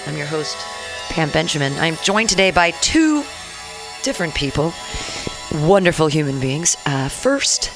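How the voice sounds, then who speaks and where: A woman's voice: sits low, sing-song, unhurried, music in the background. A woman hosting a casual talk radio show, loose and chatty, swearing freely between segments.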